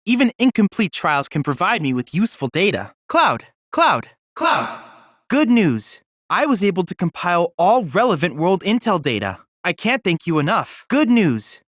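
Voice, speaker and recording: Young android boy researcher, video game dialogue, earnest, precise, polite, animated intonation, close dry sound. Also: mid-range voice, moderate pace, dry recording. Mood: pleased, grateful. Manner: formal, precise, courteous, earnest.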